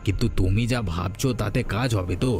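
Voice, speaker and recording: A man's voice: fairly low pitch, quick pace, gravelly, a little mellow, soft background music. A man playing an easily led younger husband who plots along, questioning and uneasy, in a cartoon family tale. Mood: worried, agreeing. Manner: questioning, conspiratorial. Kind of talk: cartoon moral-tale voice acting.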